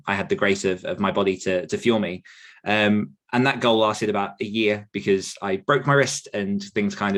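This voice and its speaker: A man's voice: on the low side, fairly bright tone. A British man in his thirties telling his own career story in a casual, rambling, chatty interview style. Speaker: podcast guest, author and writing coach.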